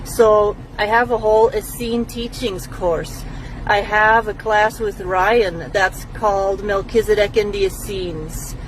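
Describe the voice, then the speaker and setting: A woman's voice: mid-range voice, slight background noise, rough recording. A woman from Belgium, speaking casually to camera in a reflective, explanatory way as a spiritual teacher. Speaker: spiritual teacher answering a follower's question.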